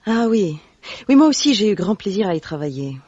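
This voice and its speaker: An actress playing an effusive, self-pitying, elegant lady translator in a radio mystery, husky, chatty and theatrical. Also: mid-range voice, big pitch swings, brisk, dark tone, no echo. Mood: world-weary. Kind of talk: French radio mystery drama acting. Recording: dull vintage-style radio recording.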